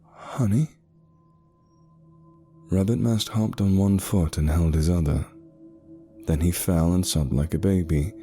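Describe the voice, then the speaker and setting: Very deep, dark-voiced man narrating horror. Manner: measured, suspenseful, short pauses. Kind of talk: scripted horror narration, first person. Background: eerie background music.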